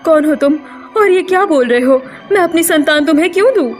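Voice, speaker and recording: A woman's voice: very high voice, animated, a little fast, soft background music, voice pushed up. A woman voicing a tender, emotional young mermaid mother in a cartoon story. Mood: alarmed, defiant. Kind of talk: Hindi cartoon story character voice.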